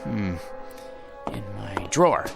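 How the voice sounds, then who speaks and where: An actor playing a timid office clerk who talks hesitantly, as if mumbling to himself. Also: male voice, on the low side, animated, slow pace, creaky, over loud music, full character voice. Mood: fretful. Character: timid, meek office clerk, young man.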